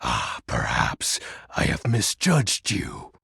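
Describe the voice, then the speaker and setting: A man voicing a melodramatic, pompous dark lord, darkness personified, in a video game, with a raspy, gravelly voice and grandiose archaic diction. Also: sits low, huge pitch swings, takes it slowly, dry recording, clean studio sound, heavily acted. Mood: haughty, scheming. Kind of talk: video game character voice acting.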